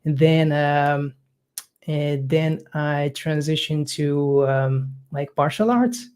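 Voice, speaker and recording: A man's voice: fairly high pitch, a little mellow, clear, resonant tone. Guy coach, young, lots of ums and uhs, casual chat. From Hungary. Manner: halting, many fillers, non-native phrasing.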